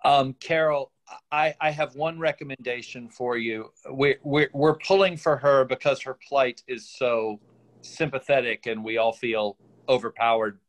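A man giving considered feedback on a video call, deliberate and a little hesitant. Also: medium pitch, low-quality recording. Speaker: participant giving script feedback. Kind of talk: considered critique.

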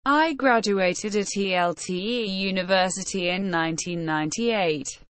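A woman reading short English phrases for learners, slow and careful, evenly spaced. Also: medium pitch, dry recording.

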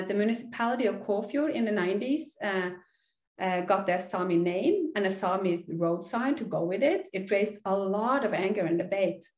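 A woman's voice: medium pitch, a little mellow, reverberant. A middle-aged woman with a Norwegian accent gives a recorded online lecture over slides, earnest and explanatory, with frequent "uh" fillers. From Norway. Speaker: university lecturer. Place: small indoor room.

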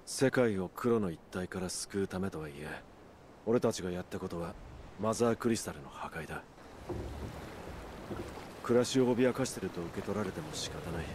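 Low-voiced, grave outlaw leader played by a man. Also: a little mellow, slight background noise, natural voice. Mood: solemn, resolute. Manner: earnest, grave, measured. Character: resolute, brooding adult male outlaw leader. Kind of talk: Japanese video game cutscene acting.

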